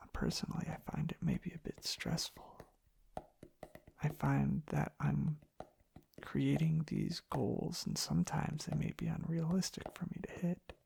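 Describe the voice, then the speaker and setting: An ASMR host speaking softly in a hushed, reflective, unhurried ramble. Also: medium pitch, creaky. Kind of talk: soft-spoken ASMR ramble.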